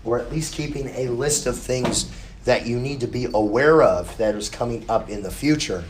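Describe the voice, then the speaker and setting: A hoarse man, still getting his voice back, gives a casual, reassuring college class lecture. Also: mid-range voice, moderate pace, reverberant, a little hiss or hum. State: hoarse, recovering lost voice. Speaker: college instructor.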